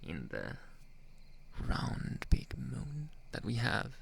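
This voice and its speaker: Slow, gravelly man playing a flirty werewolf. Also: medium pitch, huge pitch swings, exaggerated voice. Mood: seductive, coaxing. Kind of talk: ASMR roleplay monologue.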